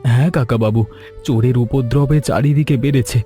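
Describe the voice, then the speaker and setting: A man voicing a younger village helper in an animated story, deferential and solicitous toward his elder. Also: mid-range voice, fairly quick, faint music behind, close to an everyday voice. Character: concerned, respectful younger male village helper, eager to comfort. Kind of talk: Bengali animated story dialogue.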